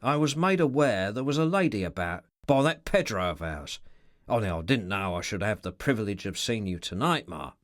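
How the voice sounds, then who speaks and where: A man voicing Ricardo, a sly boastful rough-talking henchman. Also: fairly low pitch, very animated, normal speed, dry recording, clean studio sound. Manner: rough colloquial speech, sly feigned candour.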